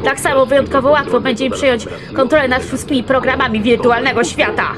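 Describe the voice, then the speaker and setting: A woman's voice: high-pitched, fairly even intonation, fast talker, crowd noise, lightly acted. A woman voice acting a dramatic, menacing anime cartoon villain in a fan dub. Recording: music behind the voice.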